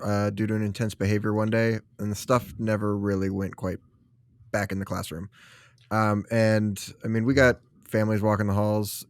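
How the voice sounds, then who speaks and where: A man playing a concerned, resigned school administrator, speaking frankly with some hesitant pauses in a role-play conversation. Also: fairly low pitch, clean tone, no room sound, studio quality, natural voice.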